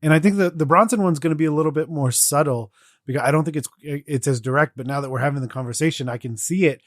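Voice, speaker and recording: A man's voice: a little high, fast, no echo, studio quality. A man co-hosting a podcast, talking in an earnest, loose and meandering conversational way. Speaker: podcast co-host. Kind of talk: casual podcast discussion.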